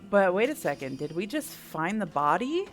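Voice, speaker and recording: A woman's voice: lowish voice, sing-song, normal speed, soft background music. A woman doing casual playthrough commentary, thinking aloud and asking herself questions as she goes. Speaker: gameplay host.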